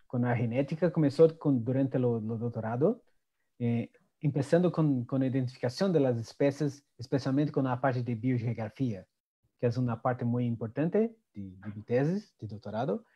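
A man whose first language is Portuguese, speaking Spanish with a Portuguese accent on a video-call panel, halting and searching for words. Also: mid-range voice. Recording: dull lo-fi sound.

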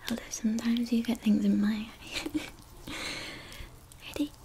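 A young woman whispering softly in a girlfriend-style ASMR roleplay, doting and giggly. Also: fairly high pitch, level tone, a little slow, noisy background. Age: young adult. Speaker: ASMR creator. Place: bedroom with purple lights.

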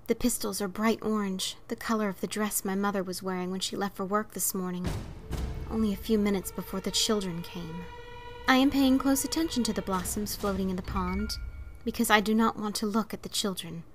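Female narrator for spooky short fiction, medium pitch, dramatic storytelling over soft background music. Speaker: story reader. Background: faint music.

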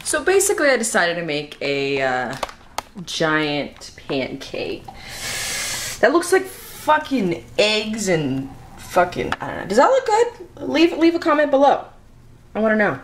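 Young woman vlogger, very animated, unhurried, joking around in a noisy, echoey kitchen. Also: medium pitch. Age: young adult. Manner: silly, self-mocking, loud outbursts. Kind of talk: goofy cooking vlog. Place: home kitchen at night. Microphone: camera across the kitchen. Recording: echoey kitchen, audible noise.

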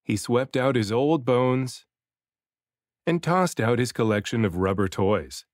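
A man reading a children's picture book aloud as an expressive storybook narrator, varying his delivery for emphasis. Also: medium pitch, unhurried, dry recording, clean studio sound. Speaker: children's book reader, dad and voice actor.

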